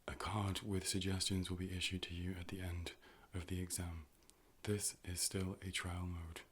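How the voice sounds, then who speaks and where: A man playing a calm, polite robotic AI optician in an ASMR role play, speaking in a measured, formal, deadpan way. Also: low voice, slight background noise. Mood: hushed, clinical. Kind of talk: ASMR medical role play, personal attention.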